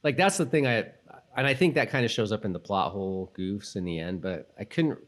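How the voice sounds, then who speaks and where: A man with a slightly low voice chats as a podcast co-host. He talks casually, rambling and working out his thoughts as he goes. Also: a little fast. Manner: rambling, thinks aloud in loose tangents. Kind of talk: casual TV rewatch chat.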